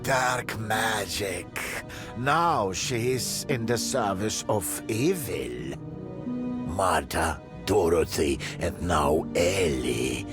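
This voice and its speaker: A man's voice: low voice, expressive, extremely slow, heavily acted. An actor voicing a wise old male woodland house spirit in a fairy-tale game, with a mysterious, dramatic storyteller's delivery. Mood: grave, foreboding. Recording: music behind the voice.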